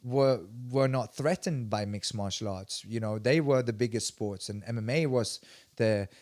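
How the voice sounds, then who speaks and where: A man's voice: mid-range voice, moderate pace, a little mellow, no room sound, clean studio sound. A man in his forties from Sweden, a martial arts instructor telling his story as a podcast guest in emphatic bursts, often restarting his sentences. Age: 40s. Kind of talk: casual interview storytelling.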